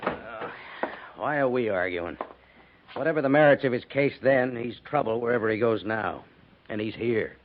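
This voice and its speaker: A man's voice: medium pitch, normal speed, noisy background, lightly acted. A man plays a weary, stern army major in an old radio western. He speaks with grumbling authority in a mid-range voice, on a dull, aged broadcast recording. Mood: resigned. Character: weary, stern middle-aged cavalry major, post commander. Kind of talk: 1950s radio drama acting.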